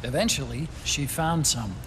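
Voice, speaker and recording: A man's voice: mid-range voice, moderate pace, noisy background, natural voice. A man playing an earnest, thoughtful scientist and concerned friend in a video game cutscene, speaking in an explanatory way. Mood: earnest, reflective. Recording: loud music behind the voice.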